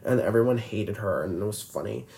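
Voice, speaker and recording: Rambling twenty-year-old man, lively pitch. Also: a little fast, gravelly. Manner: rambling, filler-heavy, restarts sentences constantly. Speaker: podcast host. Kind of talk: casual solo podcast chat.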